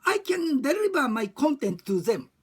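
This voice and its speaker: A woman with a Japanese accent speaking non-native English in a halting, emphatic way, repeating phrases as in an informal personal talk. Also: high-pitched, big pitch swings, darkish tone. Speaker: discussion group chair and facilitator. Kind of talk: informal monologue about personal experience.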